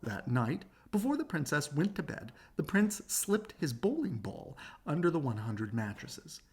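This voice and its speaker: A woman reading a children's book aloud as an expressive storyteller. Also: low voice, unhurried, breathy edge.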